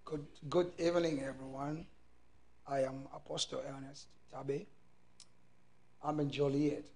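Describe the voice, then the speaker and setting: A middle-aged man with a Cameroonian accent introducing himself as a pastor, in halting short phrases. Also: mid-range voice, flat delivery, a little mellow. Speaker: pastor, talk-show guest. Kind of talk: self-introduction on a panel. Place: indoor TV studio, seated.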